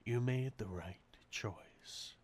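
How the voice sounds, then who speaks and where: A man's voice: mid-range voice, slow, a little mellow, slight background noise, close to an everyday voice. A man playing a sadistic, possessive centuries-old vampire master in an intimate ASMR roleplay, murmuring and whispering breathily with menacing condescension. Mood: possessive, soothing.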